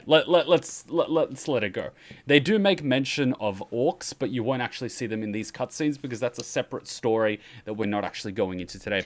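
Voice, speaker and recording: A man hosting casual, offhand explanatory commentary on game cutscenes. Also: medium pitch. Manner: informal, offhand. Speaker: video host.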